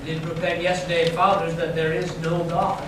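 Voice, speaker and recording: A man acts an earnest young monk in a readers theater drama, with a high voice for a man. He is gentle and sincere, heard in a very echoey room with noticeable noise. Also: monotone, a little fast, natural voice. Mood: concerned. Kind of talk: staged readers theater reading. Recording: echoey room, distant mic, noisy amateur recording.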